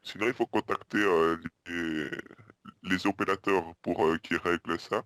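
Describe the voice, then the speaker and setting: Guy acting as a confused earnest hotel customer on a prank call, low dry voice, deadpan, keeps stumbling and repeating himself politely. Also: a little slow, no room sound. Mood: deadpan, insistent. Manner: hesitant, repetitive, polite, deadpan. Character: earnest, muddled male hotel guest pestering reception. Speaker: prank caller.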